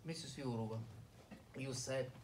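A man's voice: mid-range voice, normal speed, recorded from a distance. Bulgarian man in the audience, insistent, handheld mic heard from afar with a bit of noise. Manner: insistent, repeating questions. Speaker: audience member asking a question. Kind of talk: pointed question from the floor. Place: conference room. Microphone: handheld microphone, camera far off.

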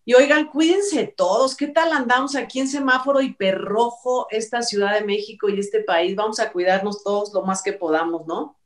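A woman's voice: on the high side, normal speed, hardly any pauses. A middle-aged woman with a Mexico City accent, hosting a casual panel chat in a chatty, rambling way. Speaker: show host. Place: home room, indoors. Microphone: video call, off the mouth. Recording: roomy, distant home video-call sound.

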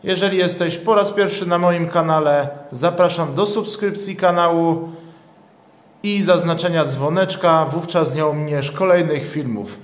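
A man presenting a product step by step in a measured, matter-of-fact way with halting phrases. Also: a little high. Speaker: product reviewer, channel host. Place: indoors, tabletop product shoot. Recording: roomy indoor echo.

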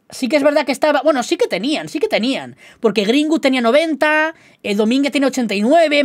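A man's voice: extremely high pitch, a bit bright, no echo. Chatty young man with a Galician lilt. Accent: Galician-tinged Spanish. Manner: chatty, rambling, self-mocking, keeps addressing the viewers. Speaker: gaming YouTuber. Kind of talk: casual gaming commentary to viewers.

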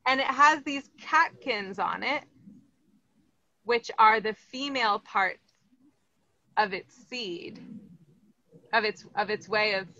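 A woman's voice: mid-range voice, slow pace, pauses often, bright, forceful tone, dry recording. A woman in her thirties from Los Angeles with an American accent, running an upbeat online plant lesson and asking her audience questions. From Los Angeles, California. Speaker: plant educator. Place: greenhouse, indoors. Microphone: video call, laptop or webcam. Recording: slightly dull video call audio.

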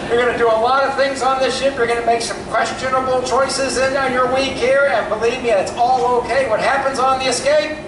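A middle-aged man from Kentucky with a Kentucky accent, doing a stand-up comedy routine with a deadpan, punchy delivery and drawn-out pauses. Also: extremely high pitch. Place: large theater stage. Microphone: handheld mic, filmed from far off. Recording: echoey theater from far off, loud crowd noise.

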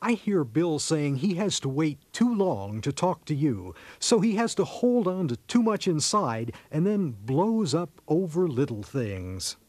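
Lively man acting a earnest marriage counselor. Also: fairly high pitch, unhurried, few pauses, no echo. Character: soothing male marriage counselor who translates jargon. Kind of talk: radio sketch comedy acting.

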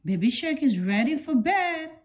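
A woman reading a children's picture book aloud in a deliberate, simple storytelling way for young children. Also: high voice, sing-song, darkish tone. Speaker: storybook reader.

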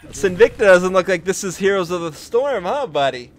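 A man's voice: high-pitched, very animated, normal speed. A man bantering casually with friends during a video game, teasing and needling them.

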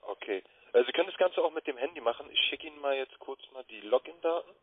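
Man, telesales caller, mid-pitched, dull phone-line sound. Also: expressive, fast talker, slightly rough. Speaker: trading sales caller. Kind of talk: sales phone call. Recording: roomy, muffled lo-fi phone line.

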